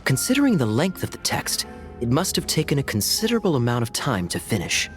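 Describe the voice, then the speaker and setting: A slick young defense knight in a game, swingy intonation, pointed questions, music behind. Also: male voice, mid-range voice, brisk. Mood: shrewd, probing. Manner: probing, pointed, expressive. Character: shrewd, smooth-talking young man defending the accused. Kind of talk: scripted game cutscene acting. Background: background music.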